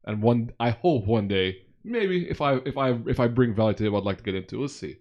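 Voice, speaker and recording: A man's voice: medium pitch, animated, fairly quick, muffled recording. A man hosting an online interview show, talking in a casual rambling way with frequent restarts, over a video call. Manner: rambling, repeats himself, friendly. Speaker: podcast host.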